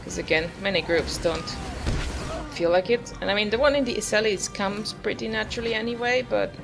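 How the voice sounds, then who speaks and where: A woman's voice: mid-range voice, a little fast, gravelly. A woman giving casual gameplay commentary as a video host, musing aloud in an offhand way. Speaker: gaming video host, player. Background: loud game music.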